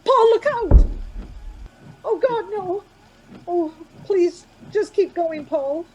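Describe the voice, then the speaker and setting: A woman's voice: lively intonation, takes it slowly, a little mellow, heavily acted, rough recording. An actress playing an anxious, coaxing woman traveller in a radio play, urgent and reassuring by turns. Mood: panicked. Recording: background noise with vehicle rumble.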